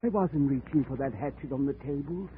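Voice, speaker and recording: An actor playing a smug, formal, indignant murder suspect in a 1940s radio drama. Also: male voice, mid-range voice, a little fast, lightly acted. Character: smug, paranoid murder suspect, a widower, pleading when cornered. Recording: noisy, tinny 1940s radio recording.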